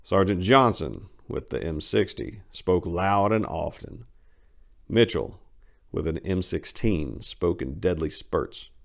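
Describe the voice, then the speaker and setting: A man's voice: sits low, lively intonation, slow pace, gravelly. A man narrating war fiction as a dramatic story reading.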